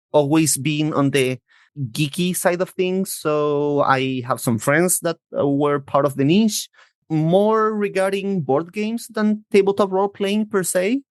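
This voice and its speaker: Podcast guest: man, 30s, from Chile, Spanish native, fairly high pitch, dry recording, conversational and a little hesitant. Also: dry recording. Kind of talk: casual interview chat.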